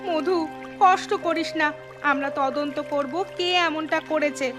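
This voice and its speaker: A woman's voice: high-pitched, measured pace, over music. A woman playing a caring, encouraging village mother in a cartoon, speaking informally and reassuringly. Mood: consoling concern. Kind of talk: cartoon voice acting.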